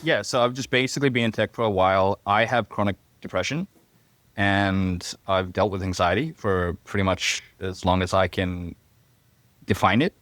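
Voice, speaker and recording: A man's voice: deep voice, steady tone, normal speed, fairly bright tone, dry recording. A man in his thirties from Fiji, raised in Hawaii, with a mixed Fijian, New Zealand, Australian and American accent, chatting casually and self-deprecatingly as a podcast guest. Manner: rambling, self-deprecating, joking asides. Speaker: podcast guest, software engineer.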